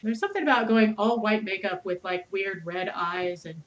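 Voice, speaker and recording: A woman with a mid-pitched mezzo-soprano voice hosts a casual interview. She talks animatedly and bluntly, swears freely, and laughs often. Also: normal speed, dull audio. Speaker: podcast host, interviewer.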